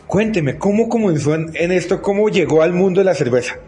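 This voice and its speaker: Male show host, higher-pitched voice, emphatic and admiring delivery, music underneath. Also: lively intonation, a little fast, dark tone. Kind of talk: effusive show presentation and interviewing.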